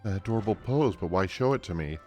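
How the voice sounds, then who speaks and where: A man's voice: deep voice, animated, fairly quick, a little mellow, soft background music. A man voicing a shy, polite villager from a fantasy game in a formal, awkward manner. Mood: shy, grateful. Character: shy, polite villager daunted by confessing love.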